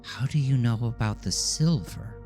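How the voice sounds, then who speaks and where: A man's voice: medium pitch, normal speed, over music, pitched above the performer's own voice, natural voice. A man voicing a shaken woman schoolteacher with urgent, questioning delivery in an audio drama. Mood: startled, urgent.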